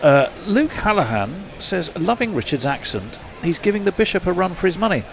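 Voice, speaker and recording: A man's voice: sits high, fairly quick, creaky. A man with a southern English accent hosting cricket commentary, reading out listener messages in a chatty way. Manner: lively, chuckling, reads out listener messages.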